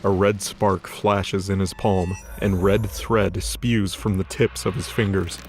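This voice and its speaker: A man's voice: sits low, little pitch movement, takes it slowly. A man narrating a scripted dramatic fantasy story as its storyteller. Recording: music behind the voice. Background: music.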